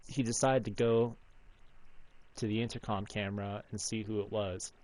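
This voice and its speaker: A man's voice: fairly low pitch, little pitch movement, normal speed, darkish tone. A man narrating a visual novel aloud for a game playthrough.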